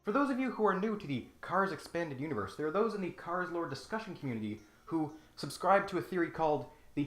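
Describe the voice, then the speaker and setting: A man with a high, forceful voice delivers a sarcastic scripted comedy critique. He is emphatic and mock-grandiose as host, and the room adds echo around him, with music behind.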